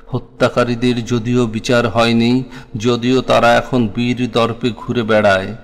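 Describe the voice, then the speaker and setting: A man with a Bangladeshi accent reciting a scripted memoir in a solemn, literary and expressive manner. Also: mid-range voice. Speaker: narrator of a war memoir. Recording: long reverberant echo on voice.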